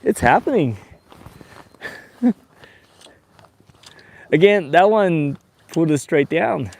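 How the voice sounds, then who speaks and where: Relaxed chatty man in his forties. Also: on the high side, slow pace, creaky, slight background noise. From Taiwan and Australia. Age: 40s. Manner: relaxed and chatty, with bursts of excitement when a fish bites. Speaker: fishing show host. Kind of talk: casual livestream while fishing. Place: on a boat on a lake, outdoors.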